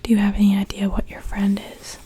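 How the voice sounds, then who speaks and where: A woman voicing a calm, mysterious sea-cove witch in an ASMR roleplay, speaking gently and slowly in a soft breathy whisper. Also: medium pitch, a little hiss or hum, natural voice. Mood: calm, explanatory. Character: calm mysterious cove witch, part mermaid, potion brewer.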